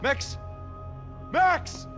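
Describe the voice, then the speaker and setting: A man's voice: very slow, over music. A man playing a nervous, fretful young man in a video game cutscene, with an anxious, pleading manner. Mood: panicky. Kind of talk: cinematic video game acting.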